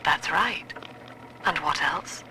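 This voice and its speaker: A woman playing a controlled, imperious mother with cold ambitions, in a measured game audio-log drama. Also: low-pitched, bright, forceful tone, full character voice. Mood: stern, composed. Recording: faintly noisy audio-log recording.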